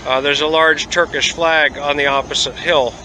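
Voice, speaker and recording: A man vlogging a travel tour outdoors at a port, talking casually with lots of "uh" pauses. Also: on the high side, fairly even intonation, sharp tone, slight background noise, poor audio. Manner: rambling, many "uh" pauses, easygoing. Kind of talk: casual travel vlog narration.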